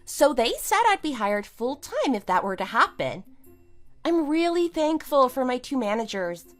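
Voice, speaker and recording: A woman's voice: high-pitched, sing-song, normal speed, faint music behind. A woman playing a scornful, triumphant wife in an audio drama, with a sarcastic, emphatic delivery. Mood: smug, matter-of-fact. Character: wronged wife, scornful and triumphant, kicking out cheating husband. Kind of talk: amateur audio drama acting.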